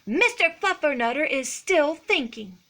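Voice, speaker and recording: A woman's voice: sits high, big pitch swings, unhurried, sharp tone, voice pushed up, exaggerated voice. A woman voicing an exasperated, scolding mother cat in a storybook, lecturing sternly and emphatically. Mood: firm.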